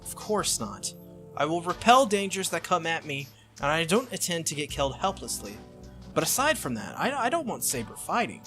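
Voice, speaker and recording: A man voicing a stubborn, earnest young male hero in a visual novel, protective and halting in his speech. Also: fairly high pitch, very animated, fairly bright tone, faint music behind. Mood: hesitant, earnest.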